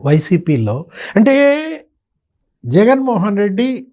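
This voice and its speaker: Male commentator, raised pitch, mellow tone, colloquial and sarcastic, posing pointed questions off the cuff. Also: very animated, takes it slowly. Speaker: political commentator, interview guest. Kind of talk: unscripted political commentary.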